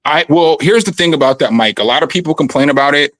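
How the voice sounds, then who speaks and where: A man with a raspy voice chatting casually and loosely from his home studio, full of slang and fillers. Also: a little high, fast, fairly bright tone, dry recording. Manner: laid-back, slangy, rambling with fillers. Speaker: guest musician on a video call. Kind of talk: casual chat. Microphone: condenser studio mic.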